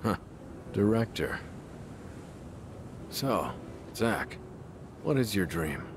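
A deep, unhurried weary man seeking redemption in a video game drama. He is grave and reflective, gently resolute, weighing each phrase with care. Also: big pitch swings, background noise, heavily acted. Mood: musing, gentle. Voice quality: low, measured. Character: weary, remorseful former director turned into a clone, gently resolute.

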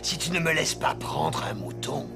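A man's voice: fairly high pitch, very animated, moderate pace, gravelly. An actor voicing a sly big bad wolf in a French cartoon, scheming and sneering as he draws out his threats. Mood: threatening. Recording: loud music under the voice.

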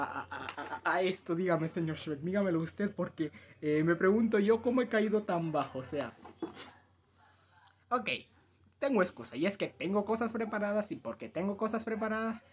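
A man's voice: on the high side, a little mellow. A young man with a Latin American accent giving casual, slangy, playfully dramatic reaction commentary. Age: young adult. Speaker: gaming channel host reacting to a video. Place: small room at home. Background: music.

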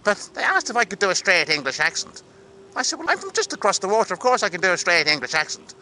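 A man's voice: sits high, big pitch swings, quick pace, bright, forceful tone, a little hiss or hum, exaggerated voice, poor audio. A man playing an eager, proud Irish actor with an Irish accent, recounting auditions in a vlog monologue. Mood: animated, proud. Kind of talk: vlog monologue with acted dialogue.

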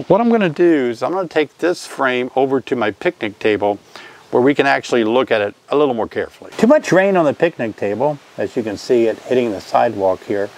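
A man's voice: medium pitch, darkish tone, a little hiss or hum. An older man teaching beekeeping in a casual vlog, chatty and often asking viewers questions, recorded outdoors by a hive. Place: outdoors by a hive, then a covered porch.

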